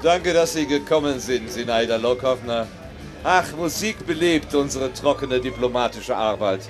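A man's voice: mid-range voice, normal speed, over music, close to an everyday voice. A middle-aged man playing a genial, ceremonious Soviet ambassador in a live radio play, with formal, florid phrasing. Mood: gracious. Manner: formal, florid, speech-making. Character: genial, ceremonious Soviet ambassador hosting a New Year reception. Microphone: headset on an outdoor festival stage.

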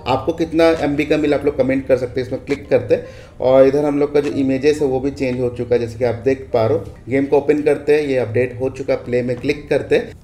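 A man's voice: mid-range voice, darkish tone. Indian man commentating, echoey room. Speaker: gaming video host. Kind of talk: casual update walkthrough commentary. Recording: echoey room with faint background noise.

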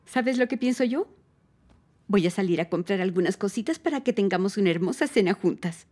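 A woman in her forties dubbing a worried working mother in a TV drama, expressive in her speech. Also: mid-range voice, brisk, lightly acted. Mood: hopeful. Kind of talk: Spanish dub of a TV drama.

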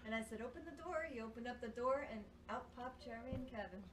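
A woman telling an animated story about planning a surprise party, recorded far off across an echoey room. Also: a little high, fast talker, slight background noise. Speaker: party organizer.

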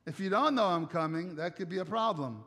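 Italian-accented man preaching with a high voice. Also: a little fast, pushed and bright. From Italy. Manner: conversational preaching with rhetorical questions and jokes. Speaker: pastor. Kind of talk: sermon. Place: church sanctuary stage. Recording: long church hall reverb.

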